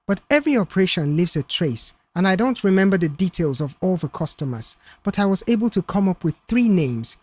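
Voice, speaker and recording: Narrator playing a young male mechanic, reserved, stern, measured, firm, audiobook drama. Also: mid-range voice, very animated, darkish tone, slightly rough, no echo. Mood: stern, accusing.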